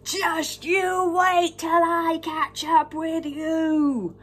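A woman voicing a huge, menacing male giant in a children's story, booming and drawn-out. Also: steady tone, pushed and bright, faint music behind, full character voice. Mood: roaring.

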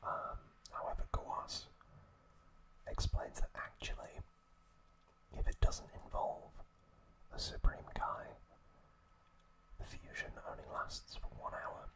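A man whispering an ASMR story recap in a hushed, unhurried, hesitant way as the host. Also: low-quality recording.

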